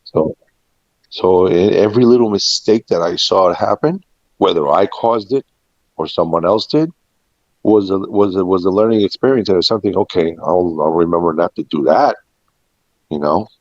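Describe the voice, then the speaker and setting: Middle-aged male, low register, reflective anecdotal storyteller in an informal interview; dull, roomy sound. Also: moderate pace, a little mellow. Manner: reflective, anecdotal, quoting past conversations. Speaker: restaurant and catering veteran being interviewed. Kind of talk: personal storytelling in a casual interview. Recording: muffled, echoey room recording.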